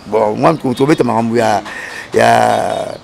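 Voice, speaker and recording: A man's voice: on the low side, measured pace, vocal fry, darkish tone. A man telling long, digressive stories as a studio guest, often repeating words and restarting. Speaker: guest storyteller. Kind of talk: animated storytelling chat.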